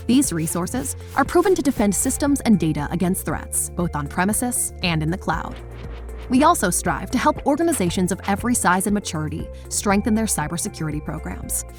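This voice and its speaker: A woman's voice: medium pitch, a little mellow. An American woman reading a polished, scripted advertisement as an announcer. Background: music.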